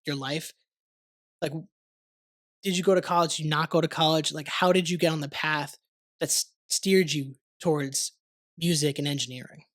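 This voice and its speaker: A man's voice: on the high side, fairly even intonation, normal speed, pauses often, a bit bright. A young man hosting a podcast, talking casually and rambling with lots of "like" and the odd swear word. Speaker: podcast host. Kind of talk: casual conversational interview. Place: warm studio under lights.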